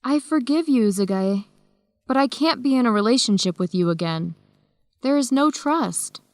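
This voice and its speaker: A young woman in a radio drama, principled and direct. Her voice is a touch high and firm, captured in a dry studio. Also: animated, normal speed, a little mellow, dry recording. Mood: hurt, searching. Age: young adult. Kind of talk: educational radio drama acting.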